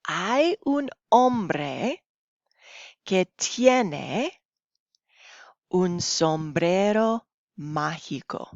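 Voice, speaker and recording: A woman teacher talks through a recorded lesson at a very unhurried pace. Her voice sits slightly low, and she explains instructively, often checking in with short questions. The recording is somewhat dull and lo-fi. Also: animated, a little mellow. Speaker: Spanish teacher. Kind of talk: recorded classroom lesson, reading slides aloud. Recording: dull lo-fi, roomy home recording.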